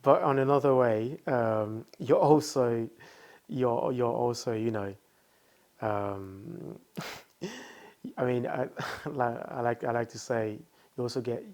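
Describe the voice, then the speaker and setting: A man's voice: medium pitch, takes it slowly, a little mellow. Thoughtful man talking with many fillers. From France. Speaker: founder, guest. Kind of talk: casual interview talk.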